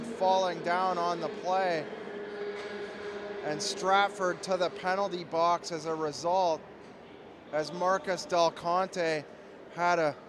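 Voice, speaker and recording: A man with a cold, hoarse and losing his voice, calling ice hockey play-by-play in a steady, measured way from an arena. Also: high-pitched, noisy background. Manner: steady, measured call that climbs to shouting on goals. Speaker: play-by-play commentator.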